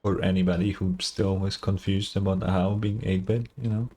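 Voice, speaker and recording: A man's voice: sits low, steady tone, moderate pace, warm tone, slightly rough. A man giving casual, rambling, informal game reaction commentary with some swearing. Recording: game music faintly behind the voice. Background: game music and dialogue.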